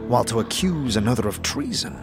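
A man voicing a stern githzerai warrior teacher who speaks in measured, solemn, formal aphorisms. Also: medium pitch, sing-song, music in the background. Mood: solemn, instructive.

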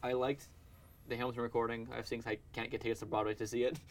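A man hosting a casual pop-culture podcast at a brisk clip. He runs through news items in a chatty way, with a middling pitch. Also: flat delivery. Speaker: podcast host.

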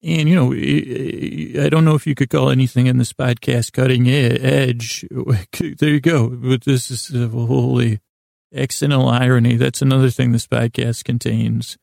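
A man hosting a sleep podcast in a creaky voice, meandering soothingly through a bedtime monologue, mumbling and stumbling over his words. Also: mid-range voice, fairly even intonation, moderate pace, darkish tone, no echo, clean studio sound. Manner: soothing, lulling, friendly. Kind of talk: rambling bedtime monologue to help listeners fall asleep.

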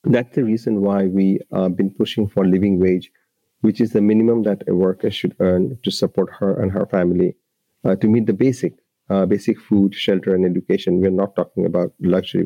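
Earnest Bangladeshi man, low voice. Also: fairly quick, mellow, husky, dry recording, dull audio. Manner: earnest, explanatory, with frequent restarts. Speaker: labour rights advocate, guest. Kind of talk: interview answers.

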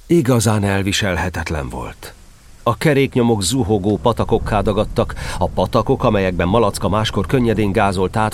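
Low-voiced, animated male storyteller. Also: a little hiss or hum. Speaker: narrator. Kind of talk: expressive scripted storybook narration.

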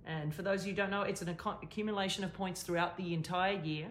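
A woman's voice: lowish voice, fairly even intonation, quick pace, roomy sound, slight background noise. A woman with an Australian accent co-hosting a casual tennis podcast, easygoing and agreeable. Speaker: podcast co-host, former tennis pro. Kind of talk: casual chat.

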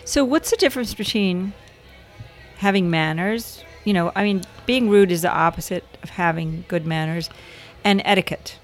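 A woman's voice: fairly low pitch, normal speed, faint music behind. Teasing woman in her fifties. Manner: teasing, playful. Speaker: podcast co-host. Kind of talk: casual married-couple chat.

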